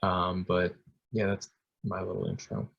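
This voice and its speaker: A young man in his twenties speaks low and fairly flat over a video call. He introduces himself in a casual, friendly way, dropping "uh"s as he goes, with little rise and fall in his voice. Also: a little slow, vocal fry, muffled recording. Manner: casual and friendly, with frequent fillers. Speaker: graduate student panelist. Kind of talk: workshop self-introduction.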